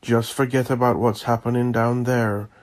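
A man's voice: mid-range voice, flat delivery, normal speed, lightly acted. A man voicing an elderly, nimble Caribbean island woman who speaks firmly and emphatically in a horror audio drama. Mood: grave warning.

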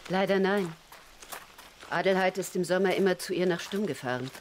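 A woman's voice: fairly low pitch, moderate pace, slight background noise, natural voice. An actress playing a genteel, sympathetic 1920s Viennese lady in a radio drama, formal and subdued. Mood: subdued, regretful.

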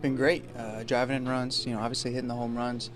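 Male player, locker room, monotone delivery, noisy background. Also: mid-range voice, fast. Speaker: baseball player.

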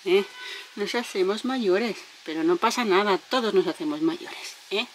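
A woman's voice: medium pitch, moderate pace, a little hiss or hum. A woman from Spain chatting casually on a vlog as she works on her sailboat, rambling and talking to her dog. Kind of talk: casual vlog chat to camera. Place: aboard a moored sailboat.

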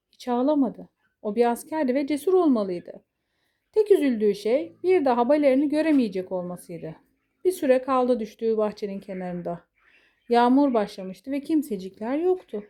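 High-pitched woman narrating a fairy tale. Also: mellow. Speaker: storyteller.

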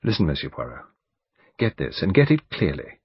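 A man's voice: deep voice, sing-song, normal speed, slightly rough, no room sound, voice pushed down, heavily acted. A man reading an audiobook, voicing an earnest, determined young woman who speaks insistently. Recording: dull lo-fi recording.

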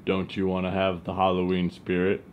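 A man as a muffled, very deep vampire sidekick. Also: moderate pace, natural voice. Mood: deadpan. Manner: deadpan, terse. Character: laconic, deadpan male vampire sidekick. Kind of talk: comic in-character banter. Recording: muffled, distant, noisy pickup.

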